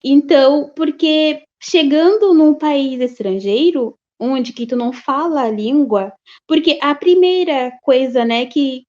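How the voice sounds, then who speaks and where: A woman from Haiti with a Haitian accent and a high, light voice, answering an interview question in a halting, searching way. The recording is dull and lo-fi. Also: darkish tone. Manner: hesitant, with fillers and restarts. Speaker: interview guest, student. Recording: dull lo-fi audio.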